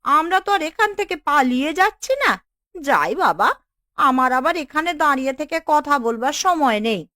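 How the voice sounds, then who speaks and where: A woman's voice: high-pitched, sing-song, moderate pace, bright, forceful tone, no room sound, clean studio sound, heavily acted. A woman voicing a mocking, nosy village auntie in a cartoon, skeptical and taunting. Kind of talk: cartoon dialogue.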